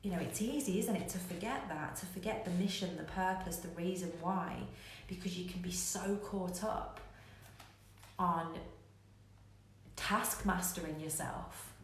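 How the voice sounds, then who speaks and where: A woman's voice: lowish voice, measured pace. A woman giving a candid live coaching talk to online viewers, emphatic and repeating phrases to drive points home. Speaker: business coach hosting a live online show. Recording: very echoey room, faint noise.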